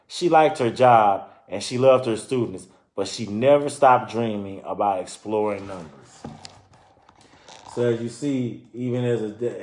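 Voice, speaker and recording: Low-voiced man reading aloud in echoey room. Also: a little mellow. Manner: pauses to explain and comment to listeners. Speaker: reader presenting a children's book. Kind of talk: read-aloud of a children's book with informal commentary. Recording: roomy, echoing sound.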